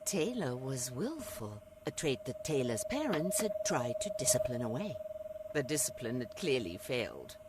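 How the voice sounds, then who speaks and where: A woman narrating a video game story in a wry, deliberate way, over noisy game ambience. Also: very deep voice, sing-song. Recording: clear background noise from game ambience.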